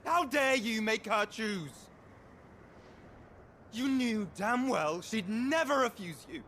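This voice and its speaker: Slow, intense, lighter-voiced young man. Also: high-pitched, animated, pushed and bright, slight background noise, heavily acted. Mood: angry reproach. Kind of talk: cinematic video game acting.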